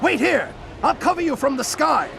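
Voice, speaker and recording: An actor playing a brisk, driven alien general with an urgent, commanding manner in a video game cutscene. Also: male voice, extremely high pitch, sing-song, gravelly, full character voice. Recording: vehicle noise behind.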